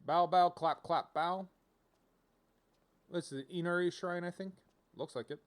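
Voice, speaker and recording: A man's voice: high voice, steady tone, unhurried. A man casually commentating on a video game as he streams, rambling and thinking aloud as he reads out options.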